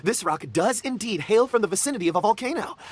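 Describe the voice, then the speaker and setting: A bright-spirited young rock enthusiast, lightly pitched. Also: male voice, lively intonation, quick pace, slight background noise. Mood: admiring, eloquent. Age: young adult. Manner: polite, eloquent, enthusiastic. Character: genial, absent-minded young man, eloquent rock enthusiast. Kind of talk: video game dialogue acting.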